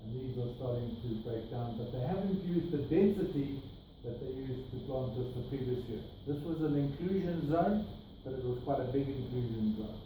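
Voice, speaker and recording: A man's voice: mid-range voice, lively intonation, normal speed, mellow. South African man lecturing, echoey and far. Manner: explanatory, points at slides, rhetorical asides. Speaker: grazing workshop presenter. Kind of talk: slide talk to a workshop group. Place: meeting room with projector screen. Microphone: far camera, no mic. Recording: distant echoey room with noise.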